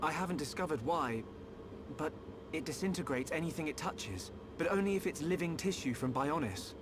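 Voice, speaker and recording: Man playing an earnest young British hero, higher-voiced. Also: expressive, moderate pace, background noise, close to an everyday voice. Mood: thoughtful. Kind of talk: video game cutscene acting. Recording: loud orchestral game music behind.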